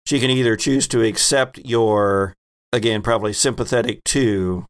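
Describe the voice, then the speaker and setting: A man hosting as game master, explaining rules and narrating a tabletop roleplaying game in a halting way. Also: lowish voice.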